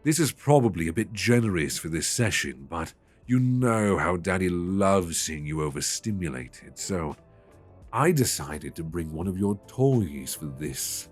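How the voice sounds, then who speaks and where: A British man playing a stern, possessive, dominant lover in an intimate ASMR roleplay, speaking deliberately and commandingly. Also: sits low, lively intonation, faint music behind. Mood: teasing, stern. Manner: slow, deliberate, commanding with soft endearments.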